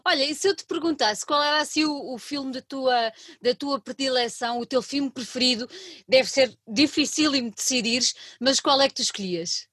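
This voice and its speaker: A woman host with a European Portuguese accent, chatty and quick to laugh, interviewing a guest. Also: high-pitched, dry recording, studio quality. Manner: chatty, warm laughter, many restarts. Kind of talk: radio interview.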